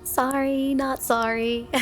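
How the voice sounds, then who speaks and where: A sweet, high voice of a clingy snow spirit wife, acted by a woman, speaks in an intimate ASMR roleplay. She is flirty and utterly devoted, with a possessive edge. Music and rain sit clearly behind her. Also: fairly even intonation, drawn-out words. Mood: playful, tender.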